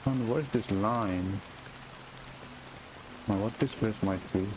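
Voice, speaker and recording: A man's voice: lowish voice, slow pace, noisy background. A man doing casual gaming commentary, reacting with short questioning asides as he plays. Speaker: gaming streamer. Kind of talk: casual game playthrough commentary. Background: game music and rain sounds.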